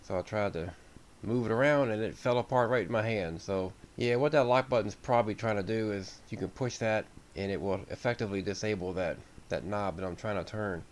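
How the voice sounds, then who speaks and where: A man's voice: lowish voice, a little fast. An American man giving an informal, folksy repair walkthrough as a radio hobbyist, thinking aloud without a script.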